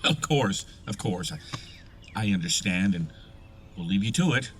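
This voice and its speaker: A man's voice: deep voice, expressive, unhurried, a bit bright, a little hiss or hum. A man playing a nosy, overly polite neighbor with a prying manner in a horror audio drama. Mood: solicitous. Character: Walter, nosy, chatty male neighbor, falsely sympathetic.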